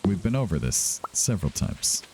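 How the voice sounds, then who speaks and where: A man's voice: low-pitched, sing-song, moderate pace, mellow, a little hiss or hum, low-quality recording. A man doing casual let's-play commentary as a gaming host, chatting to himself and to the game's characters. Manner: talks to himself and to game characters.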